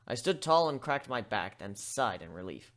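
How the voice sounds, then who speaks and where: A man giving an amateur dramatic reading of a first-person story, expressive and shifting between narration and character voices. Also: mid-range voice, normal speed.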